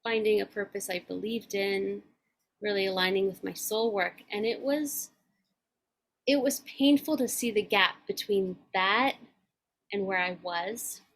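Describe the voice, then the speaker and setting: Woman, 40s, podcast co-host. Earnest and reflective, with measured delivery at a mid pitch. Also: a bit bright. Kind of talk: casual chat.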